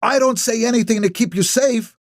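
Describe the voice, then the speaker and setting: A man voicing an upset middle-aged woman who scolds a friend in a tense, breathy voice. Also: high voice, expressive, brisk, dry recording, clean studio sound, voice pushed up. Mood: angry.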